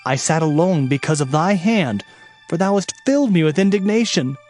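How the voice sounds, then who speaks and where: A man's voice: a little high, expressive, normal speed, a little mellow, close to an everyday voice. An actor playing an earnest, anguished male prophet, speaking solemnly and plaintively in a dramatized scripture reading. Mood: anguished pleading. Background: music.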